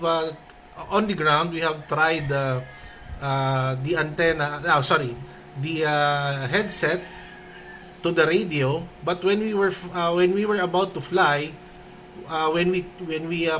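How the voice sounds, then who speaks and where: A man with a Filipino accent speaking haltingly in an amateur radio conversation, often repeating words. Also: a little high, fairly bright tone, slight background noise. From Philippines.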